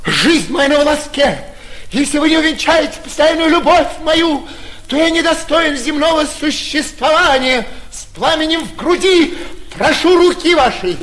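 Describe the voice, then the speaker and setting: Young dandy in old radio stage comedy, super high voice, gushy begging lover. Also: male voice, animated, moderate pace, a bit bright. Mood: ardent. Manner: ardent, florid, pleading declarations. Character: flighty young gentleman impostor, gushing theatrical suitor. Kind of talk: classic stage comedy acting, radio play. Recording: vintage 1940s radio theatre recording.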